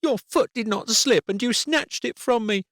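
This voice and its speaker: A high, shrill young girl's voice with a tearful, pleading edge, as a man puts her on. She sounds strained and close, with no room echo around her. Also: moderate pace, fairly bright tone. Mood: snarling. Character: upset young girl, defiant then tearful.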